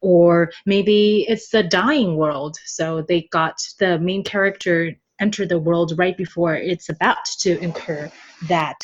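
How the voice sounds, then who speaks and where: A woman's voice: lowish voice, measured pace, muffled recording. A woman guest on a podcast, a translator talking casually about a novel she works on, often laughing and dotting her talk with fillers.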